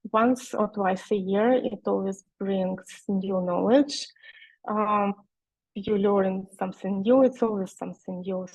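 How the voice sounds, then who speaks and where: Slow-spoken woman with a Russian accent. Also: mid-range voice, darkish tone, no echo, low-quality recording. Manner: hesitant, frequent "uh" fillers, slow and careful. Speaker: guest speaker, online seller. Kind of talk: webinar presentation.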